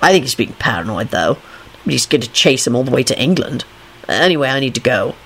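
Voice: medium pitch, animated, fast talker, fairly bright tone, slightly rough, pitched below the performer's own voice. A reader voicing a surly, morose male werewolf wizard in a storybook, snappish and grumbling. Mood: morose, unhappy.

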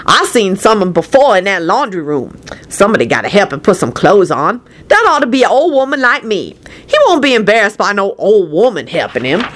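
A woman's voice: mid-range voice, very animated, fairly quick, fairly bright tone. A woman voicing a feisty old woman with folksy dialect grammar, clucking and grumbling in a story. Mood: cheerful.

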